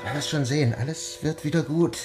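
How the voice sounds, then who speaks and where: An actor playing an anxious, helpless son who pleads hesitantly in a radio play. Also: male voice, fairly high pitch, fairly quick, music in the background, close to an everyday voice. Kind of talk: audio drama acting.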